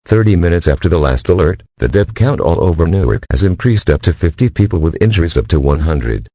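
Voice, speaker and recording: Super low raspy guy doing a emergency alert voice, formal and robotic, reading an official broadcast. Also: expressive, a little fast, mellow, no echo, full character voice. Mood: impersonal, official. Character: impersonal male emergency alert announcer.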